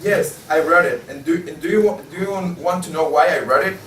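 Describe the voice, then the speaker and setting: A man's voice: fairly high pitch, a little fast, a bit bright, far from the mic, natural voice. A man playing a jaded, disillusioned journal editor in a table read of a stage play, stumbling now and then over his lines. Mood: defensive. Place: indoor room, table read. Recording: echoey room from afar, faint noise.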